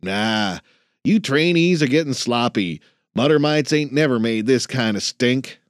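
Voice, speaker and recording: A man's voice: medium pitch, animated, unhurried, dry recording, clean studio sound, natural voice. A man voicing a grumpy old male janitor who talks bluntly in folksy slang. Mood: gruff.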